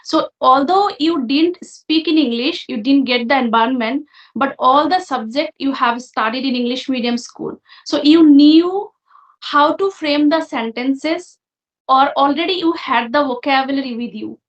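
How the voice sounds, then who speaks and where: A woman's voice: sits high, a little slow, reverberant, lo-fi sound. A woman from Assam, a guest speaking candidly and reflectively in English, her fourth language. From Assam, India. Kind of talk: casual conversation.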